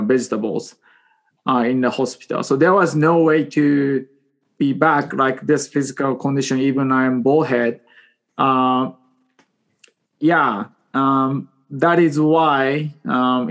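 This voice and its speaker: A man in his forties from Japan with a Japanese accent, speaking English as a second language as a podcast guest, telling a personal story in a halting, self-deprecating, joking way. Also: medium pitch. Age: 40s. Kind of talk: casual personal storytelling in an interview.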